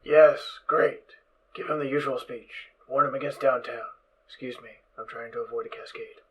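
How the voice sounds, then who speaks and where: A man playing a distracted, brainy ghoul pony scientist in an amateur audio drama, lecturing in a brisk, absorbed way. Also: medium pitch, fairly even intonation, fairly bright tone, natural voice, poor audio. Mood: distracted, curt.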